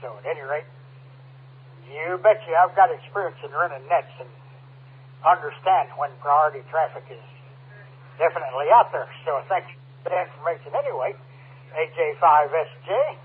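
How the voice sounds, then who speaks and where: A man hosting an amateur radio check-in net in a folksy, courteous way with formulaic calls, husky, heard over two-way radio. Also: on the high side, animated, normal speed, pauses often. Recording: narrowband two-way radio audio.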